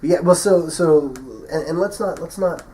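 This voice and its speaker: A man's voice: a little high, normal speed, dark tone. A man co-hosting a podcast in casual chat, getting over the flu and sniffling, rambling and laughing at his own stories. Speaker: podcast co-host. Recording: voice a bit far from the mic, faint hiss.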